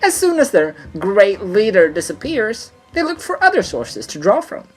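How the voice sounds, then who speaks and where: Excitable, high-swooping little gnome man. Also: normal speed, soft background music. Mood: amused musing. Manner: excitable, chatty. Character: gleeful, manic small male gnome saboteur. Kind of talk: fantasy audio drama acting.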